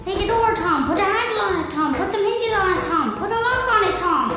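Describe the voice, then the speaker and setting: A man's voice: extremely high pitch, expressive, brisk, heavy reverb, loud music behind the voice, pitched above the performer's own voice. A man voicing three little fairy creatures in a story, bossy and gleeful, chanting their taunts. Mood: bossy, taunting. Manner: taunting, chanting repeated demands in chorus.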